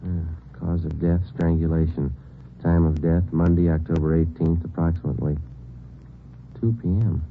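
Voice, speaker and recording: Deep-voiced, deadpan American police detective. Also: male voice, moderate pace, gravelly, a little hiss or hum. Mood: deadpan, businesslike. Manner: deadpan, terse, matter-of-fact. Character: stoic, businesslike male police detective sergeant. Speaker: narrator and detective sergeant in radio police drama. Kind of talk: 1940s radio acting, clipped scripted narration. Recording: tinny 1940s radio recording with music.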